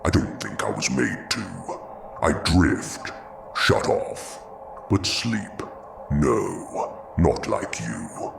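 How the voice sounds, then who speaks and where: Solemn creature, slow delivery, swooping intonation, brooding, gravelly, audio drama, noisy ambience. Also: male voice, very deep voice, big pitch swings, exaggerated voice. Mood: haunted, mournful. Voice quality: gravelly, breathy at times. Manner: slow, solemn, terse phrases.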